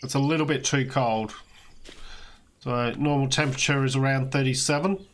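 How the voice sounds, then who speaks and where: A man's voice: mid-range voice, moderate pace, slight background noise. Australian man explaining a game casually. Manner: relaxed, explanatory, frequent "uh" fillers. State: slightly hoarse, losing his voice. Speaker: let's play host. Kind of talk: casual gameplay walkthrough commentary.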